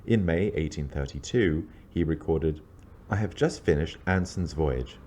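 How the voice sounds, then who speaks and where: A man reading scripted historical narration in a measured, formal, scholarly manner. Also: a bass voice, warm tone. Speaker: lecturer reading own introduction.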